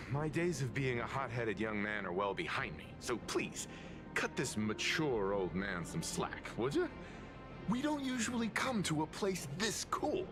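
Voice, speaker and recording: An actor playing a mature, polite, measured gentleman with boyish earnestness in a video game dub. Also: mid-range voice, wildly swooping pitch, over music. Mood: measured, weary. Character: mature, mild-mannered gentleman with hidden boyish excitement.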